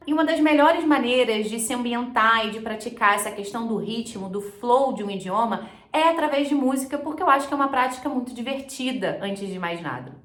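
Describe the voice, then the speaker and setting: A woman's voice: a little high, roomy sound. A Brazilian woman giving a conversational, explanatory language lesson, mixing English terms into her speech as she instructs. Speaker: English teacher and video host.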